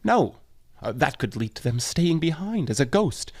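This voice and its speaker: Man playing an exasperated, animated grim reaper. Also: a little high, moderate pace. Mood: weary, frustrated. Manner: wry, conversational, complaining. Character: exasperated, wry Death, a weary male grim reaper. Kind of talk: comedic audio drama dialogue.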